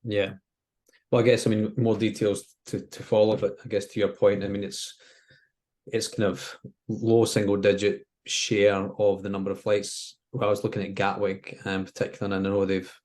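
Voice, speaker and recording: A man co-hosting a casual expert discussion, speaking in a tentative, measured way. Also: on the low side, flat delivery, a little mellow. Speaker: aviation expert co-host.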